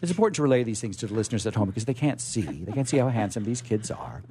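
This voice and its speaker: A man hosting a radio show, chatting and reading aloud in a rambling, halting way, often repeating words. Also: on the low side, big pitch swings, mellow, slight background noise. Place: radio studio. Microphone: studio microphone.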